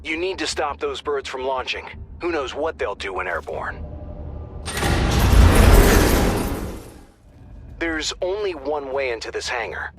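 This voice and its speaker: An actor playing a brisk male mission handler in a tactical game, directing a squad with dry, wry wit. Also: medium pitch, a bit bright, natural voice. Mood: urgent. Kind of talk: scripted game mission briefing.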